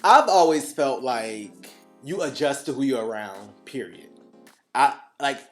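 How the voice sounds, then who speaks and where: A man co-hosting a casual chat podcast, animated and quick to laugh, with faint guitar music underneath. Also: mid-range voice. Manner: animated, laughs often, drawn-out emphasis. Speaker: podcast co-host.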